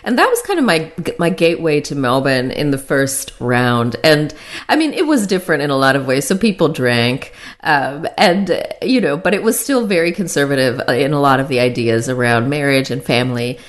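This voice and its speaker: A low-pitched woman in her thirties speaks with an American accent. Her intonation swings widely as she talks, chatty and self-deprecating. She tells her story casually and laughs often. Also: big pitch swings, normal speed. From born in Sri Lanka, raised in the Philippines and Arkansas. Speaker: guest co-host.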